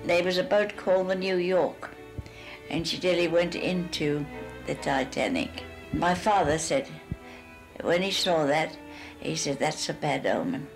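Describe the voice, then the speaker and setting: An older woman shares a spoken reminiscence in a rambling, conversational way. Her voice sits on the low side, with faint music behind it. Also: animated, unhurried. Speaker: shipwreck survivor.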